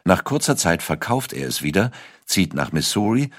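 A man narrating a scripted documentary story in a measured way, with dramatic emphasis. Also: sits low, big pitch swings, no room sound, clean studio sound. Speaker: audiobook narrator.

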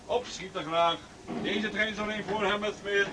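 Actor as distant, high-pitched station announcer. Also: male voice, steady tone, normal speed, close to an everyday voice. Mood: brisk, urgent. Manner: calling out, repetitive. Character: brisk, urgent male train station announcer. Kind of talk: radio play acting. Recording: distant, very noisy train station scene.

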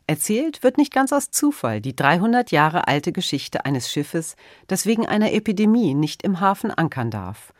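A woman's voice: on the low side, big pitch swings, moderate pace, hardly any pauses, no echo, clean studio sound. A woman speaking as a radio host, presenting and asking prepared questions in an interview style.

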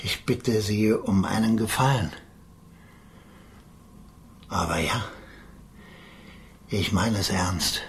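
An actor playing an older, stern and bitter idealist lawyer in a radio crime drama, speaking solemnly and deliberately. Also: male voice, fairly low pitch, slight background noise. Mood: subdued, resolved.

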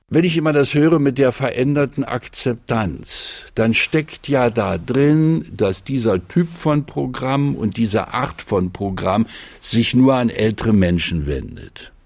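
Slow, emphatic man with swooping pitch. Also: creaky, darkish tone, no room sound. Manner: emphatic, declarative. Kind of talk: opinionated argument.

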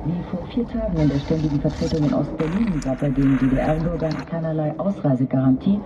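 A man's voice: fairly high pitch, brisk. A man reading a formal scripted news bulletin on a lo-fi radio broadcast. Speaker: news reader. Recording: dull lo-fi radio broadcast, noisy.

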